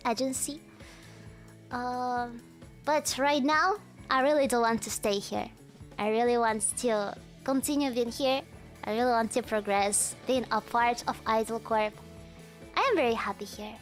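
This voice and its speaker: A young woman streamer with a hard-to-place mixed accent, chatting casually to her viewers with lots of fillers and laughter. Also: on the high side. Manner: talks to chat, frequent laughter and fillers. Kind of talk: casual livestream chat.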